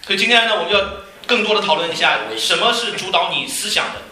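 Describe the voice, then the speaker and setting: Sharp, high-voiced male sermon interpreter. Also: quick pace. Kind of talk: live consecutive sermon interpreting. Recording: echoey room, faint noise.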